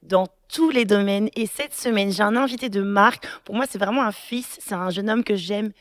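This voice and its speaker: A woman's voice: mid-range voice, moderate pace, no room sound, clean studio sound. A woman pastor hosting a faith podcast, introducing her guest with open emotion and frequent affirming murmurs. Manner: emotional, affectionate introductions with many affirming "mhm"s. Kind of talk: warm podcast interview.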